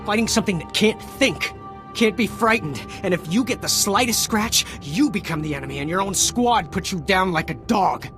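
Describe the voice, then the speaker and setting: An actor playing a desperate, war-weary deserter soldier in a video game, stammering and pleading nervously. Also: male voice, sits high, animated, moderate pace, over music. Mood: anguished, weary. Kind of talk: dramatic video game acting.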